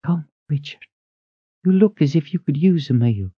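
A man's voice: a little high, animated, moderate pace, husky, no echo, pitched above the performer's own voice. A man voicing a thin older woman, a head cook, speaking in an uneasy, broken voice. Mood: grieving. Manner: quavering, broken voice. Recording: dull lo-fi audio.

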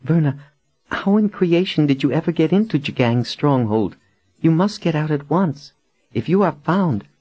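A man's voice: a little high, lively intonation, normal speed, dark tone, slightly rough, lo-fi sound, voice pushed up. A man voicing a frightened, demure enslaved woman in an audiobook, pleading with urgency. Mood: urgent fear.

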